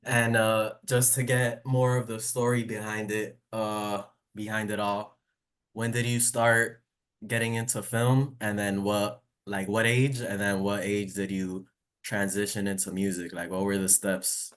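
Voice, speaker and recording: A man hosting a casual interview, relaxed and slangy, asking questions and tossing in short affirmations. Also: lowish voice, measured pace, clean tone. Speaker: interview host.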